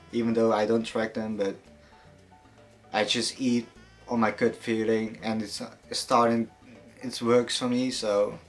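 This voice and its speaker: A young man answering viewer questions in a casual fitness vlog, relaxed and hesitant, with many fillers and some casual swearing. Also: lowish voice. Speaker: fitness vlogger.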